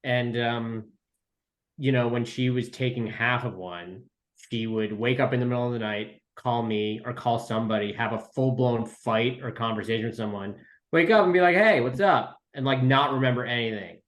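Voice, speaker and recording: A man's voice: mid-range voice, moderate pace. A man talking casually as a writer being interviewed, in an informal, rambling way. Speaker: writer and podcast guest.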